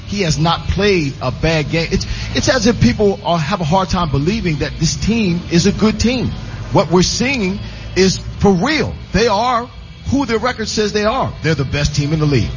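Lively, insistent radio co-host, a man with a higher voice, dull lo-fi sound. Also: normal speed, faint music behind. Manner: animated, argumentative. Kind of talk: live sports radio banter.

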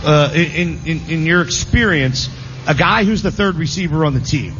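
A man hosting a casual sports radio show, talking fast and cutting in on his co-hosts. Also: a little high, fairly bright tone. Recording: dull lo-fi broadcast with faint hiss.

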